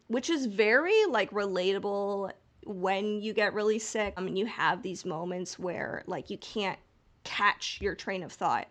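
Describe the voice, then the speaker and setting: A woman's voice: mid-range voice, a bit bright. A woman co-hosting a podcast, talking casually and analytically, thinking aloud with frequent asides. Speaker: podcast co-host. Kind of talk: casual podcast discussion, analysis of a fiction story.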